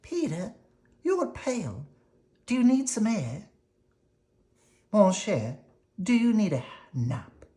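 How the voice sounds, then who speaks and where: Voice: mid-range voice, big pitch swings, slow, long pauses, dark tone, voice pushed up. A reader voicing an anxious, fussing mother from a children's picture book, fretful and questioning. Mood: fussing, worried.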